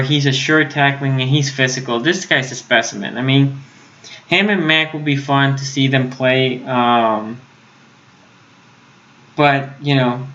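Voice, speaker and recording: A man's voice: mid-range voice, fairly bright tone, highs cut off. A man from Puerto Rico whose first language is Spanish, hosting a casual sports live stream, reading the chat and restarting his sentences often. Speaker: sports YouTube host.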